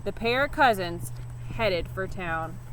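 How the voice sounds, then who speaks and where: A woman teacher reading a children's story aloud expressively, leaning deliberately on key words. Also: mid-range voice, lively intonation. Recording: clear background noise.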